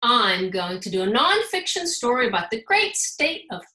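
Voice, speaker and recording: A woman's voice: on the high side, very animated, moderate pace, pushed and bright. A woman voicing a proud, eager pencil pupil in a storybook, declaring plans. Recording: roomy, echoing sound.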